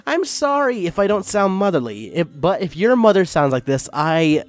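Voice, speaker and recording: A man's voice: sits high, sing-song, normal speed, soft background music, pitched above the performer's own voice. A man voicing a motherly goat woman in a story reading. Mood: apologetic.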